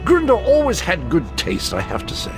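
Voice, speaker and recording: An actor playing an eccentric, cheerful adventurer in a video game, chatty and theatrical with a whimsically macabre streak. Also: male voice, sits high, huge pitch swings, normal speed, vocal fry, music in the background, exaggerated voice. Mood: delighted. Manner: jaunty, rambling, theatrical delivery. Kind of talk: video game character acting.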